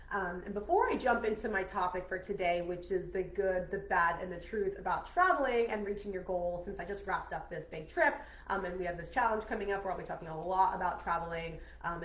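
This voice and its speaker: A woman's voice: mid-range voice, echoey room, rough recording. A woman coaching in a casual live video from home. She sounds nasal from a cold and talks in a chatty, upbeat way, full of "um"s and "like"s as she speaks to her viewers. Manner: chatty and upbeat, many "um"s and "like"s, speaks straight to "you guys". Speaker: health and weight-loss coach. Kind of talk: casual live video chat to an online group.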